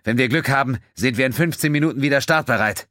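A man voicing a gruff male starship pilot who stays cocky under pressure, talking in clipped, wry bursts. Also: medium pitch, fast talker, fairly bright tone, dry recording, studio quality, pitched above the performer's own voice, close to an everyday voice. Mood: blunt.

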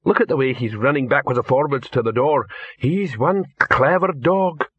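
A man voicing big, slow-witted male trolls who talk in broken grammar. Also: medium pitch, slightly rough, no room sound, lo-fi sound, lightly acted. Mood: scheming.

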